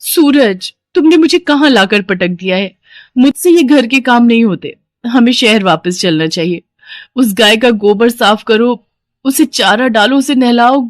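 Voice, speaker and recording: A woman's voice: fairly high pitch, a little slow, no room sound, voice pushed up, natural voice. A scheming young daughter-in-law from the city, acted out by a woman storyteller. Her voice sits raised and close in a dry recording. She complains and demands insistently, in the style of a cartoon moral tale. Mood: whining complaint.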